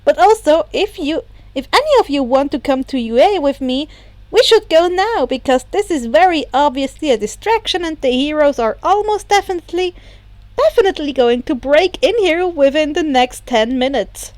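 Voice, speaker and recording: A woman voicing an earnest, persuasive teenage boy in an audiobook, with an excited, emphatic delivery. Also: high voice, normal speed, no echo. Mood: urgent, persuasive.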